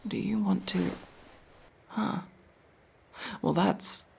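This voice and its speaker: A woman playing a masked tsundere elf mercenary in an intimate ASMR roleplay, speaking in a hushed, halting, breathy and husky voice. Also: mid-range voice, exaggerated voice. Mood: flustered, tender.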